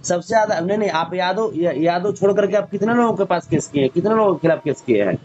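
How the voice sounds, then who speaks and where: Husky high-voiced guy w bhojpuri accent on a dull phone recording, keeps repeating himself n pushing his point. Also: expressive, quick pace, a little mellow. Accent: Bhojpuri-region Hindi. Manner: insistent, repeats himself and talks over the other man. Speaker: caller. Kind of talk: argumentative phone conversation. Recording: muffled, lo-fi recorded phone call.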